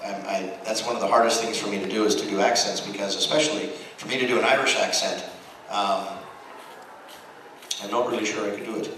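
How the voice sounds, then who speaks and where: A man's voice: on the low side, steady tone, fairly quick. An American man, a voice actor on a convention panel, chatting casually in a rambling, jokey way. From Texas, United States. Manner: rambling, jokey, acts out quoted remarks. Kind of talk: casual panel talk with anecdotes. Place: large room at a fan convention. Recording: very echoey hall, distant mic, clear noise.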